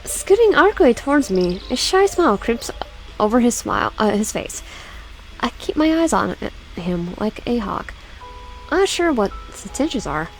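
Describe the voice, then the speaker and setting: Young gamer woman, higher voice, reads a story game aloud, lots of restarts, soft music under it. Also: sing-song, rough recording. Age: young adult. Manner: halting reading with many restarts, chatty asides. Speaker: gaming YouTuber. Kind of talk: reading a visual novel aloud with commentary.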